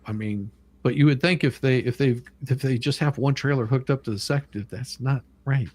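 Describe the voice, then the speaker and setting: A man with a medium-pitched voice hosts a casual livestream. He talks haltingly, circling back and repeating phrases with emphasis. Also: darkish tone. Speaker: livestream host with own channel.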